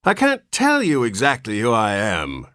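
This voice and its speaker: A man's voice: mid-range voice, wildly swooping pitch, measured pace, bright, forceful tone, dry recording, clean studio sound, voice pushed up, heavily acted. A man voicing a querulous ancient old man who has just been born, with a cracked, whining voice. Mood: querulous whine.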